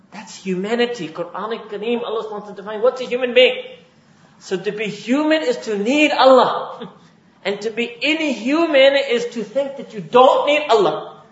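High-voiced man preaching in a roomy hall. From Pakistan. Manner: emphatic, repetitive builds. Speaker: Islamic preacher. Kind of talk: religious lecture. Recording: roomy, dull lo-fi recording.

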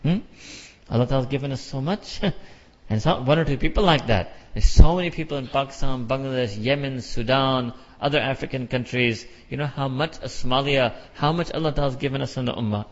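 A man's voice: medium pitch, brisk. Middle-aged American man preaching in a hall. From United States. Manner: repetitive, emphatic preaching with rhetorical questions. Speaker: imam. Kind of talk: sermon. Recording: dull lo-fi sound with long hall reverb.

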